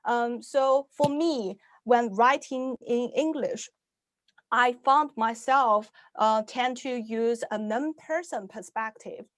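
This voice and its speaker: A young adult woman from Shanghai whose first language is Chinese, giving an online talk in English about her writing, pausing often with "uh" and "um" and restarting her sentences. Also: a little high, no room sound. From Shanghai, China. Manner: hesitant, many "uh" and "um" fillers, restarts. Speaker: science fiction author giving a talk.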